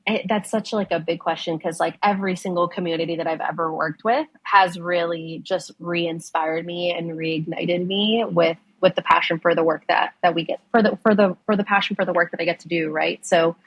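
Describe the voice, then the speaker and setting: A woman whose first language is Spanish talks about her work with eager enthusiasm, often restarting her sentences and filling pauses with "um." Her voice is lowish and husky, and it sounds close and dry with no room around it. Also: fairly even intonation, no echo, low-quality recording. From United States. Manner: enthusiastic, many fillers and restarts. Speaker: ocean conservation director, guest. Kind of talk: conversational interview.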